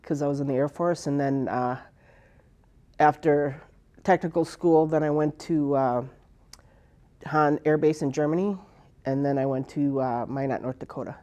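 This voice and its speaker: Older woman, military veteran, warm low-key tone, rambling oral-history telling. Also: fairly even intonation, normal speed, pauses often, dull audio. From United States. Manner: rambling, with many fillers and restarts. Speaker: Air Force veteran interviewee. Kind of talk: personal oral history interview.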